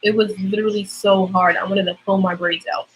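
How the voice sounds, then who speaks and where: A woman's voice: mid-range voice, flat delivery, brisk, slightly rough, rough recording. A young woman with a Trinidadian accent, chatting casually on a livestream as a nursing student, explaining things with lots of fillers.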